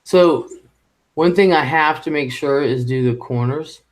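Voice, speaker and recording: A man hosting a casual live stream chat, rambling and halting, with lots of ums and restarts. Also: fairly high pitch. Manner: halting and rambling, with many fillers. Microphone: home microphone.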